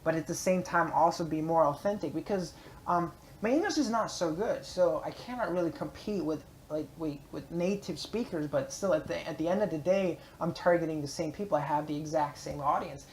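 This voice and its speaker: A man's voice: on the high side, fairly quick, slight background noise, poor audio. A man with a German accent gives an earnest, rambling motivational talk as a vlogger, with many filler words, speaking from a public library space.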